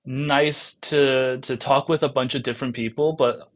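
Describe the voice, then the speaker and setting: A man from South Korea, a guest on a games podcast, who talks in loose, rambling sentences full of fillers and restarts. His voice sits at an ordinary middle pitch for a man. He speaks conversationally and never sounds scripted. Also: fairly even intonation, a little slow. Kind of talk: casual podcast interview.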